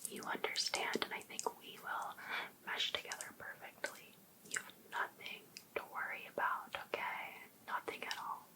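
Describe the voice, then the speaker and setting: A woman playing an overbearing, obsessive female life coach in an ASMR roleplay, softly asking attentive questions with sweet encouragement. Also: close to an everyday voice, poor audio. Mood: defensive, reassuring. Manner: soft, unhurried, attentive questioning. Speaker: ASMR performer.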